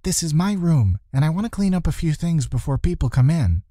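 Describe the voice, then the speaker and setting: Man voicing a high-pitched, determined young girl. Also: big pitch swings, a little fast, warm tone, no room sound, clean studio sound. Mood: firm. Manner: impatient. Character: determined, easily irritated young heroine girl.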